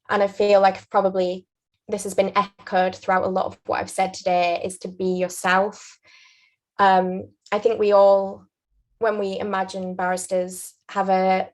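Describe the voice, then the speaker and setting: A young woman with a Yorkshire accent giving earnest, measured advice as an interview guest. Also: mid-range voice. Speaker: guest, aspiring barrister.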